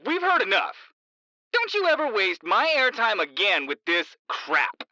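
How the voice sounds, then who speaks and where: A man's voice: sits high, huge pitch swings, a little slow, sharp tone, no room sound, exaggerated voice. A man playing a radio talk show host who mocks a caller and cuts him off. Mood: angry. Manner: mocking, curt and dismissive.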